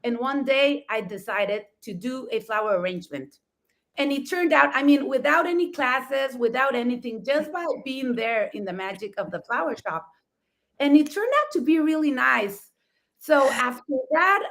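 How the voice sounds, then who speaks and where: A woman's voice: high-pitched, lively intonation, moderate pace, fairly bright tone. Enthusiastic Mexican-accented woman, middle-aged. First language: Spanish. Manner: enthusiastic, laughs often, rephrases with "I mean". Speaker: florist and talk show co-host. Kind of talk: telling her life story in an interview.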